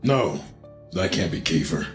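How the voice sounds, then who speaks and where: Grim, insistent ex-convict, acted by a man reading a horror story, lowish voice, clear music behind. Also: lively intonation, a little slow, roomy sound. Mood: grim, urgent. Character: grim, shaken ex-convict confessing a killing.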